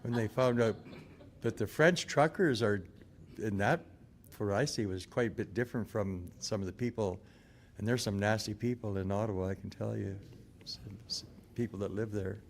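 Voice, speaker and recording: Man, audience member, plain-spoken, gravelly voice, low pitch. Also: normal speed, slight background noise. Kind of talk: casual anecdote at a public meeting.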